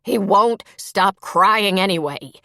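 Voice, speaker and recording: A woman's voice: medium pitch, slow pace, a bit bright, dry recording, pitched above the performer's own voice. Woman acting a curt, weary older woman in pain. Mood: dry, dismissive. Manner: dry, curt, complaining. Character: irritable, pained older woman, weary and dismissive. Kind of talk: audiobook character dialogue.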